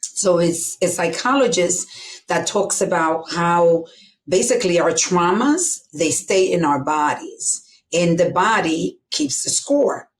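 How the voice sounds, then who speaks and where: Low-voiced Dominican woman telling her story. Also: animated, slow pace, slight background noise, low-quality recording. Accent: Dominican Spanish. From Dominican Republic. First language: Spanish. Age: middle-aged. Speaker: guest. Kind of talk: candid personal storytelling.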